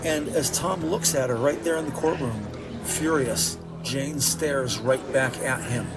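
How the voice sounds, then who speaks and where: A man's voice: medium pitch, measured pace, low-quality recording. A man narrating an audio drama from a script in a descriptive, theatrical storytelling manner. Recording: music behind the voice.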